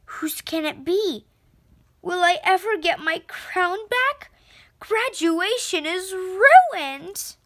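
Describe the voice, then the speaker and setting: A woman acts out a little fairy princess from a children's storybook. The voice is high and unhurried. She is expressive and exclamatory, a sentimental girl who is easily upset. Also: dry recording, full character voice. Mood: panicked. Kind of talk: children's storybook read-aloud.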